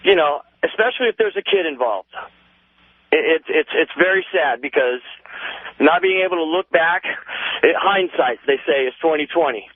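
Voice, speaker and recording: An American man in his thirties with a fairly high voice speaks over a narrow, dry phone line to a radio show. He rambles earnestly, halting and repeating words as he goes. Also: animated, no room sound. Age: 30s. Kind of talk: rambling call-in opinion.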